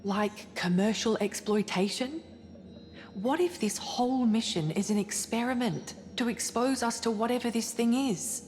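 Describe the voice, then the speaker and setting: An actress playing an earnest, suspicious scientist aboard a spaceship in a cinematic video game. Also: female voice, a little high, unhurried, a little mellow, close to an everyday voice. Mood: suspicious, urgent. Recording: long reverberant hall, music behind.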